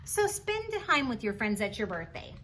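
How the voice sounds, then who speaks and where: A woman's voice: medium pitch, big pitch swings, normal speed, a bit bright, slight background noise. A woman reading a picture book aloud to young children, adding chatty asides in an encouraging, instructional manner. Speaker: children's read-aloud host.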